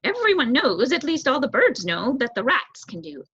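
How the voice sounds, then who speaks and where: Woman voicing an eager, high-pitched young crow. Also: wildly swooping pitch, normal speed, a bit bright, slightly rough, no echo, muffled recording, full character voice. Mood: surprised, emphatic. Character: eager young male crow, impatient and knowing. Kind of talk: children's storybook read aloud.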